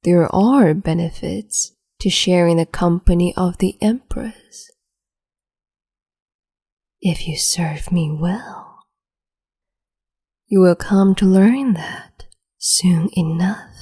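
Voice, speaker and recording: A woman's voice: lowish voice, slow pace, long pauses, heavily acted. Woman playing a whispering cruel empress. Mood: cruel, sweet. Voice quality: breathy whisper. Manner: soft whispering, sweetly menacing and condescending. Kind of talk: ASMR roleplay.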